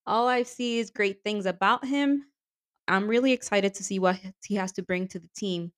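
Upbeat woman, slightly raspy. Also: mid-range voice, normal speed. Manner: enthusiastic, laughs often. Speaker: podcast co-host. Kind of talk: casual chat about women's soccer.

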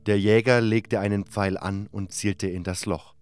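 Male audiobook narrator, lowish voice, scripted fantasy storytelling over music. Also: fairly even intonation, measured pace, smooth.

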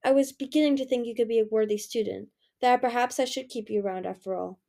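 A woman voicing a cold, menacing male dragon king who growls his lines slowly. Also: on the high side, creaky, dark tone. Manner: growling, clipped, icy.

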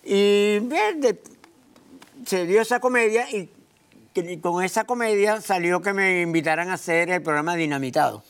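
A man from Puerto Rico, a comedian guest, telling stories in a rambling, halting way with many restarts. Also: high-pitched, a bit bright, clean tone. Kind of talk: casual interview chat.

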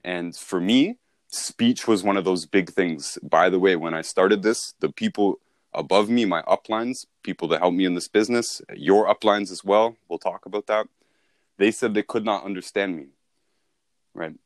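A man's voice: sits low, moderate pace, dry recording, studio quality. A man speaking as a sales mentor in an informal training talk, with an instructive, conversational and emphatic way of explaining.

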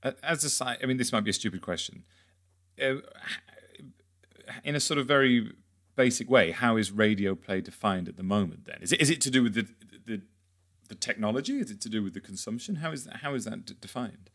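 A man's voice: mid-range voice, expressive, brisk, fairly bright tone. A man hosting an interview podcast, putting questions in a conversational, slightly tentative and roundabout way.

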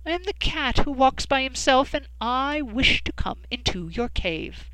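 Give me a proud, wheedling wild tomcat from a storybook, as a woman reading aloud puts him on. He speaks slowly and high, drawing out his words with a lively, swooping pitch. The sound is dry and close. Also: clear, resonant tone, no echo, rough recording. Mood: sly. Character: proud sly male wild cat.

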